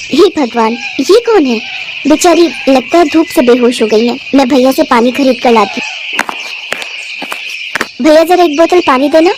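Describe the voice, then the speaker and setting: A woman's voice: sits high, expressive, moderate pace, pauses often, noisy background. A woman voicing a meek, kind young daughter-in-law in a storybook tale, polite and anxious. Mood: caring, grateful.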